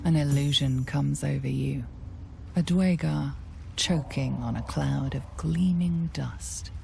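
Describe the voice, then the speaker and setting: A woman narrating a fantasy video game in a measured, hushed, dramatic storytelling style. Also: low voice, vocal fry, slight background noise, exaggerated voice. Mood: hushed, grave.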